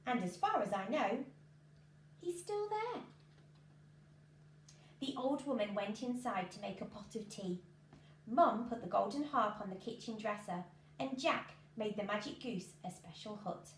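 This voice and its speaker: A woman's voice: mid-range voice, expressive, unhurried, pauses often. A woman, reading a children's story expressively. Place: living room at home, indoors. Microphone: camera a few feet away. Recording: echoey room with background noise.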